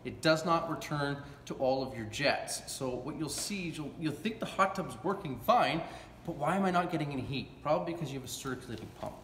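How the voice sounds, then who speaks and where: Echoey, higher-pitched man giving a how-to. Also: animated, fairly quick, a bit bright. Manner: folksy, colloquial, checking in with "okay?". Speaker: hot tub repair technician presenting a how-to. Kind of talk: casual instructional demonstration. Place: large indoor warehouse with brick walls. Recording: echoey warehouse with clear background noise.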